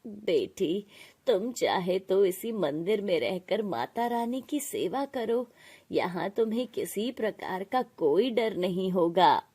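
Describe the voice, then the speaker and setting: A woman voicing a fatherly, benevolent male temple priest in an animated moral story, soothing and reassuring. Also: mid-range voice, takes it slowly, breathy edge. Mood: encouraging.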